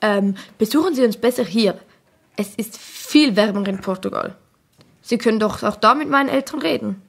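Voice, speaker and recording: A woman with a Swiss German accent playing a stubborn, excitable schoolgirl in a children's radio drama, using colloquial youth slang. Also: on the high side, normal speed, creaky. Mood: evasive. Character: cheeky scheming schoolgirl Ricarda, stubborn and excitable.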